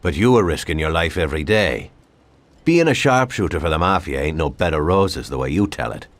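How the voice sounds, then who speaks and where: Skeptical American questioner, low-pitched with animated swings, dry sarcasm, for a crime game. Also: male voice, brisk, no room sound. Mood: sardonic, skeptical. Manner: sardonic, probing. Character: sardonic, skeptical male interrogator of a confessing gangster. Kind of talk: dramatic game cutscene dialogue.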